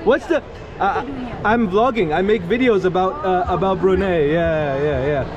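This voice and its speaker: A man's voice: high voice, normal speed, dull audio. Upbeat American man vlogging in a mall. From America, family from Pakistan. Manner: upbeat, laughs often, repeats "yeah, yeah". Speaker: travel vlogger. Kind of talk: casual vlog chat with strangers. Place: large indoor shopping mall. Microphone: handheld camera at arm's length. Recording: busy mall with crowd and music.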